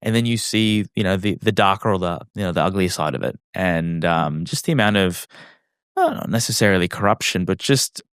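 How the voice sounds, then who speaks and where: A man's voice: sits low, expressive, brisk, a bit bright, smooth, dry recording, studio quality. An Australian man talking as a podcast guest, reflective and casual, with many fillers and restarts. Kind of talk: casual interview chat.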